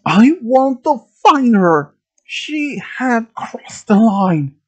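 An enraged teenage boy as a storyteller acts him out in a dramatic tale. The voice lifts high and stretches out each vengeful demand, swinging sharply in pitch. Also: big pitch swings, full character voice. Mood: bitter, vengeful. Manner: screaming, drawn-out demands.

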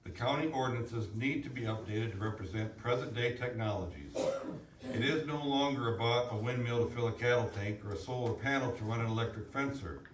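A man reads written statements aloud at a public hearing. His voice sits at a middle pitch. The room is echoey and he sounds away from the mic, with faint noise behind. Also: recorded from a distance. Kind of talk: reading prepared statements aloud at a public meeting.

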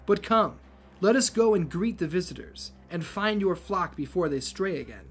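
A calm and gracious high priest from an adventure story, voiced by a man reading aloud. He speaks in a measured, courteous manner, gentle yet firm. The pitch sits on the higher side and music plays underneath. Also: slightly rough, exaggerated voice. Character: calm, gracious, powerfully built male temple priest.

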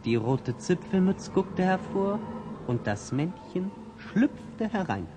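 A man's voice: sits high, very animated, takes it slowly, noisy background. A man narrating a fairy tale in the scripted storytelling style of a 1960s audio play. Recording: long reverb on old record.

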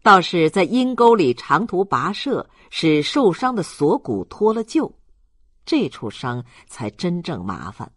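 An audiobook narrator reading a literary story in a measured, dramatic storytelling manner. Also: mid-range voice, big pitch swings, fairly bright tone, breathy edge, dry recording, clean studio sound.

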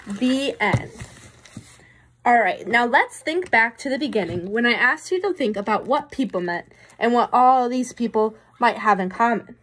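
Lively, slightly husky young woman reading aloud. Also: fairly high pitch, moderate pace. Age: young adult. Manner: questioning, explanatory, addressing young listeners. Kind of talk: children's picture book read-aloud with asides. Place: small room indoors.

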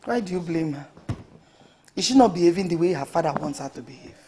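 A woman playing a weary, worried middle-aged Nigerian mother in a film melodrama, plaintive and quick to defend herself. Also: lowish voice, lively intonation, dark tone, faint music behind, natural voice. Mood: defensive. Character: weary, worried mother who answers back.

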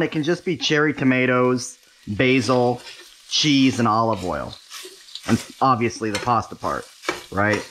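A man's voice: medium pitch, background noise. A man hosting a home cooking show, chatting casually and making wry, self-deprecating asides as he cooks. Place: home kitchen, stovetop.

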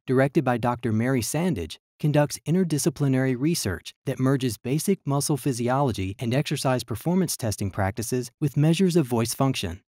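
Male narrator, mid-pitched, measured scripted reading, dry close mic. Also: a little mellow, no room sound. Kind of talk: scripted virtual tour narration.

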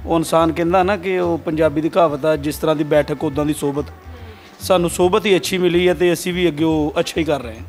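A man's voice: a little high, fairly even intonation, fairly quick, darkish tone, soft background music. A man in his forties with a Pakistani Punjabi accent, answering humbly and respectfully as an interview guest. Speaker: comedian's sidekick, interview guest. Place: small indoor studio, on a sofa. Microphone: handheld interview mic.

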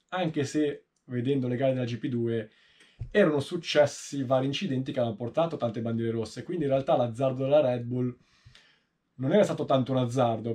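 A man in his thirties with a mid-pitched voice talks through race analysis informally. He explains things in a measured way and often pauses partway through a sentence. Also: darkish tone. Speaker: motorsport video host. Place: small indoor room, seated in a sim racing seat.

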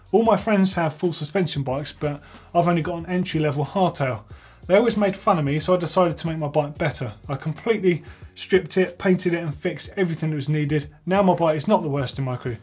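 A man's voice: a little high, darkish tone, breathy edge. A man in his thirties hosting a bike tech show, chatting enthusiastically as he reads out viewer entries in a workshop. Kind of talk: casual reading and reacting to viewer entries. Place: workshop with tools on the wall.

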